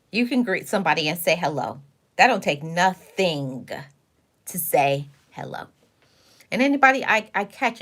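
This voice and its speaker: A woman hosting a live video stream, chatting straight to her viewers. She is sassy and preachy, mixing motivational talk with blunt warnings. Her voice sits at an ordinary middle pitch for a woman. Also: a bit bright. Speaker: livestream host. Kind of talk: casual livestream talk to chat.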